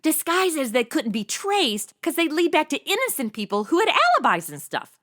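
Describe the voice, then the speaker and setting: A woman's voice: high-pitched, big pitch swings, moderate pace, fairly bright tone. An actress playing a sassy, blasé modern young woman turned amateur sleuth in a comedy audio drama, sarcastic, slangy and breezy. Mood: breezy, curious.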